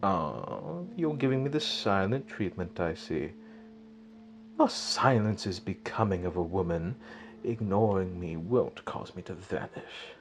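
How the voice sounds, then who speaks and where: Low-voiced, gloating wicked sorcerer. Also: male voice, lively intonation, a little hiss or hum. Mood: mocking. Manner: taunting, theatrical, drawn-out delivery with sinister chuckles. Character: gloating, mocking wicked male sorcerer villain. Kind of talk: fan audio drama acting.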